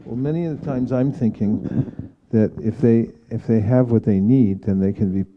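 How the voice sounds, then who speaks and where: A man speaking as a spiritual teacher in an informal devotional discussion, reflective and halting in his phrasing. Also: medium pitch, highs cut off, background noise. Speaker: spiritual teacher leading a scripture class.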